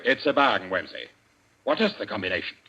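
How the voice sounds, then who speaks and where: An actor playing the coldly menacing president of a criminal society in an old-time radio suspense play, formal and authoritative. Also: male voice, fairly low pitch, big pitch swings, fast talker, gravelly, muffled recording, a loud crowd. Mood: sharp.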